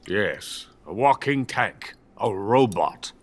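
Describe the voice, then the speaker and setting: An actor playing a bitter, boastful older Soviet weapons scientist who is drunk and rambles theatrically in a video game. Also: male voice, lowish voice, expressive, slow, a little hiss or hum. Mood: grandiose enthusiasm. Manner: grandiose, self-pitying, theatrical rambling. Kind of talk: dramatic video game voice acting.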